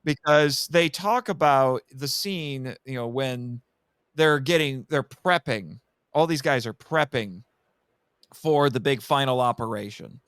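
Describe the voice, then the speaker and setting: A man's voice: mid-range voice, a bit bright, smooth, dry recording, studio quality. A man in a casual film discussion, speaking emphatically and with strong opinions, often repeating and rephrasing his points. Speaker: podcast guest, film commentator.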